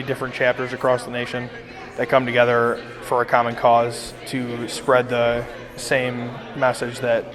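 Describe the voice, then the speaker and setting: A young man giving unscripted interview answers as a member of a charity team. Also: medium pitch. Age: young adult. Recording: reverberant hall.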